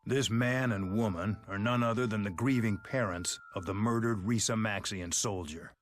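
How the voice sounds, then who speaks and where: An actor playing a sardonic, mock-polite police detective in a video game, smug and theatrical. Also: male voice, fairly low pitch, moderate pace, a little hiss or hum, close to an everyday voice. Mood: smug, taunting. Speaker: detective character. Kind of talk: English video game dub acting.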